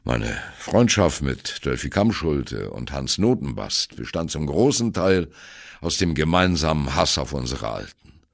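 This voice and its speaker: Gravelly, very deep, sardonic old jazz drummer. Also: male voice, very animated, a little slow, dry recording, studio quality, full character voice. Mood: wry, defiant. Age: older. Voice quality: gravelly, husky. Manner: sardonic, conversational storytelling. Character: wry, embittered old German free-jazz drummer reminiscing. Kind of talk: dramatic first-person radio play monologue.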